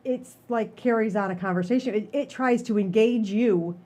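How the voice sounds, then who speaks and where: A woman's voice: fairly high pitch, normal speed, dark tone. A woman chatting casually as the co-host of a podcast. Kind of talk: casual chat.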